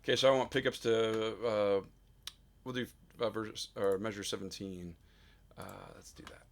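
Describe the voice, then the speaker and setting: A man recording a casual vlog in his home studio, talking into a studio mic with a big, loud voice and rambling with lots of fillers. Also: fairly low pitch, fairly even intonation, moderate pace, creaky. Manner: rambling, self-deprecating, full of fillers. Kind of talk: casual vlog talk between sung takes. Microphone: studio dynamic mic.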